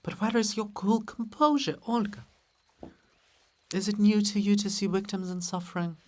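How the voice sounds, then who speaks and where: A man's voice: high voice, fairly even intonation, moderate pace, darkish tone, pitched above the performer's own voice, lightly acted. A man voicing a bold, sly, devoted sister in a theatrical, teasing, insistent stage reading. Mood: provoking. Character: Sister Lybid, bold, sly, devoted female river spirit.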